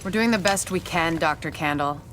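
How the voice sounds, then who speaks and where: A patient woman leader in a game cutscene. Her voice is low and measured, courteous and composed, with faint noise behind it. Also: fairly bright tone. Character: calm, courteous woman in charge of a struggling base. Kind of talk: video game cutscene acting.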